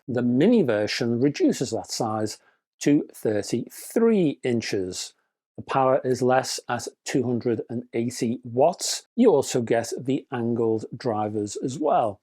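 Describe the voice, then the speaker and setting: A man's voice: medium pitch, a little mellow. Wry, chatty man hosting hi-fi news. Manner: dry, wry humor between spec readouts; chatty, addresses viewers as folks. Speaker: hi-fi news channel host. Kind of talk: scripted news roundup with asides.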